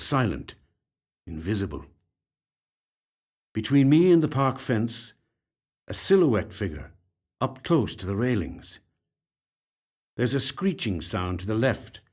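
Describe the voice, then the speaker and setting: Slow, expressive male story narrator. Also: medium pitch. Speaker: actor reading a short story. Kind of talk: dramatic first-person literary narration. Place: recording studio.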